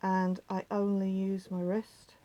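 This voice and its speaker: A woman with a slightly croaky voice, patiently talking viewers through a craft step by step and often dropping to a near whisper. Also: medium pitch, completely flat delivery, slow, dark tone, highs cut off. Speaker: needle felting teacher. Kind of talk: hands-on craft tutorial.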